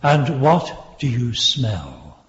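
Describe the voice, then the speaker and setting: A Victorian English barrister in a radio drama. He is condescending and courtly, drawing out his questions with expressive rises and falls. The recording is echoey and slightly muffled. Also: medium pitch, very slow, a little hiss or hum. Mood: pointed. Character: smooth, patronising Victorian prosecuting barrister. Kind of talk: British radio drama acting.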